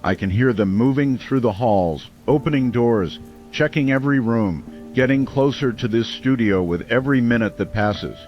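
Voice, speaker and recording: A man's voice: mid-range voice, moderate pace, lightly acted. A man playing a weary, caring late-night radio host in a radio drama, speaking somberly and earnestly. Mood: grim dread. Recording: dull muffled radio sound over music.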